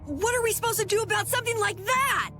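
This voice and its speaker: An innocent young boy adventurer from a video game. His voice is very high and swings widely with excitement. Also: fast, soft background music, exaggerated voice. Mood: excited.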